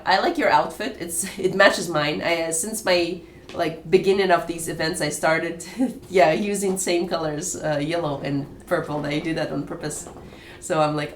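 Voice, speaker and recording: Lively, rambling woman host in an echoey room. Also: on the low side, creaky, a little hiss or hum. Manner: rambling, restarts, laughs often. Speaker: host of an online discussion room. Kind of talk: casual group discussion.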